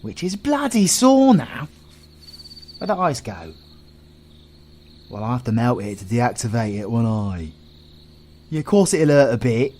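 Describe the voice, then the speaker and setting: A man plays a sardonic British supervillain in an audio roleplay. His voice sits on the low side and he talks in blunt, cocky, sweary banter. There is faint noise behind him. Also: a little mellow. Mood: teasing, gruff. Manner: blunt, sarcastic, colloquial banter.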